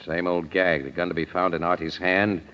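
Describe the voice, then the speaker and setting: An actor playing a tough, level-headed crime photographer, terse and firm, in an old-time radio drama. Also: male voice, deep voice, flat delivery, moderate pace, a bit bright. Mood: wry, controlled. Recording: reverberant, dull, noisy vintage radio recording.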